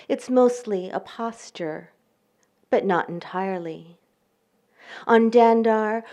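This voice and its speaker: Woman, poet, live reading, deliberate, measured pauses, slightly high voice. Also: darkish tone. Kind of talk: poetry reading with spoken introductions. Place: live reading event before an audience.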